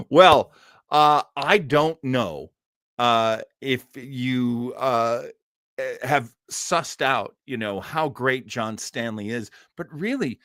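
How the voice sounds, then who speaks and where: A man's voice: mid-range voice, drawn-out words, a bit bright, dry recording, studio quality. A man hosting a live stream in a casual, chatty way, moving between reading aloud and wry asides of his own. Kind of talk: casual live commentary on old-time radio, reading an article aloud.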